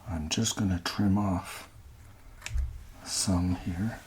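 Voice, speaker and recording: A man from Canada speaking softly and gently in an ASMR cooking style, often dropping to a breathy whisper as he talks through each step. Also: sits low, steady tone, extremely slow, slight background noise. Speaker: amateur ASMR cooking host. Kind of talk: soft-spoken ASMR cooking narration.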